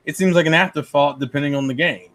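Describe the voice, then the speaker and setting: High voiced guy on a gaming podcast, incredulous griping, swears a bit. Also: fast talker, a bit bright. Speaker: podcast co-host. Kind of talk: casual gaming podcast debate.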